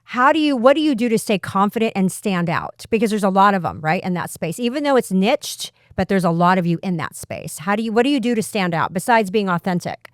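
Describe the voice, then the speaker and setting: A woman's voice: mid-range voice, animated, fairly quick, hardly any pauses, no echo, studio quality. A woman who is a real estate agent, hosting a casual podcast interview and asking long, encouraging questions.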